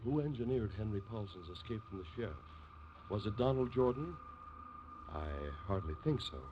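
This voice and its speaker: A man's voice: lowish voice, expressive, moderate pace. A male announcer narrating a 1950s radio drama in a scripted style. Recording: dull, hissy vintage radio recording.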